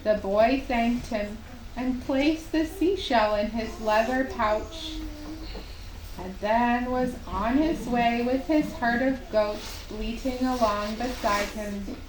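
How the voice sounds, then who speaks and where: A woman narrating a children's puppet show story in simple, unhurried phrases with long pauses. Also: fairly high pitch. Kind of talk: slow storytelling for young children. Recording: roomy live recording with faint noise.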